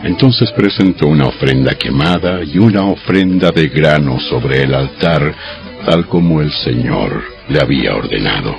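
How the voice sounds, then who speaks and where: A man narrating dramatized scripture from a script in a solemn, deliberate storytelling manner. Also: extremely low pitch, lively intonation, vocal fry, highs cut off. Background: music.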